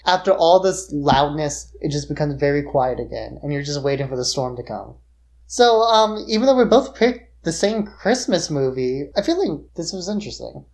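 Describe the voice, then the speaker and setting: A woman's voice: deep voice, expressive, moderate pace, a little mellow. A woman co-hosting a podcast in a casual, conversational discussion of films. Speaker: podcast co-host.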